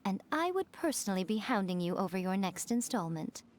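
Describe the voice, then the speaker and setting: A woman's voice: mid-range voice, very animated, a little fast, noisy background, lightly acted. A woman voicing a sly, elegant fox shrine priestess in a video game, playful, teasing and self-assured. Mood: breezy, fond. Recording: music behind the voice.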